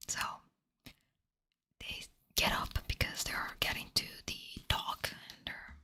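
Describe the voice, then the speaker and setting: A woman's voice: slow pace, pushed and bright. A woman whispering a late-night rant about a book at her desk, exasperated and swearing casually, close to a desk microphone. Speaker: book vlogger.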